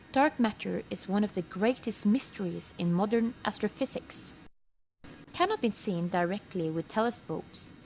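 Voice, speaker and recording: A woman voicing an informative computer encyclopedia in a game, reading scripted entries in a measured, articulate way. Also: mid-range voice, a little mellow, slight background noise, close to an everyday voice, poor audio.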